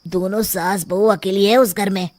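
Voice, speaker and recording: A woman's voice: medium pitch, steady tone, moderate pace, darkish tone, dry recording, close to an everyday voice. A woman voicing a sneaky, jittery village burglar in a cartoon, talking in a conspiratorial way. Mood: scheming.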